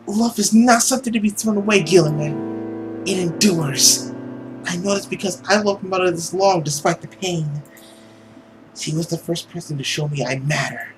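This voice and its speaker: A man's voice: sits high, normal speed, music in the background, lightly acted. A man voicing an earnest, emotional young woman in a visual novel, speaking in a dramatic, pleading way.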